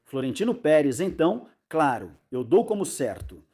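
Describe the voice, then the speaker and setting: A man's voice: fairly high pitch, sing-song, moderate pace, dark tone, slightly rough. A man giving confident, emphatic predictions as a football pundit in a casual debate chat.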